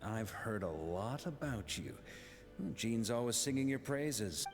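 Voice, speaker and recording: Casting: breezy game commander, dry humor, lower register, echoing letter narration. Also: male voice, takes it slowly, soft background music. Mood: wry, friendly. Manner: relaxed, wry. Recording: long reverb on the voice.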